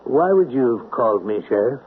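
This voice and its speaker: An actor playing an anxious, guilt-ridden husband, hesitant and easily agitated, in old-time radio drama style. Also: male voice, mid-range voice, lively intonation, brisk, slight background noise, lightly acted. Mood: nervous hesitation. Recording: tinny, band-limited old radio recording.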